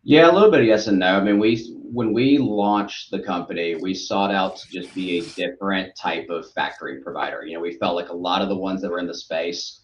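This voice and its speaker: A man with a light Southern accent talks as a podcast guest, friendly and upbeat, rolling through long explanations. His voice sits very low. The sound is roomy and echoey with a dull, muffled quality. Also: a little fast, hardly any pauses. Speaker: guest, brokerage executive. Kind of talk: casual podcast interview. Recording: echoey, dull remote audio.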